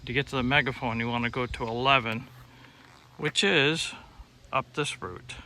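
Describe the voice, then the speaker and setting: A man's voice: medium pitch, fairly even intonation, moderate pace, sharp tone. A man casually narrating a hike outdoors on a trail in a plainspoken, matter-of-fact way. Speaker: hiking vlogger. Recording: outdoor recording with clear background noise. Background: outdoor nature ambience.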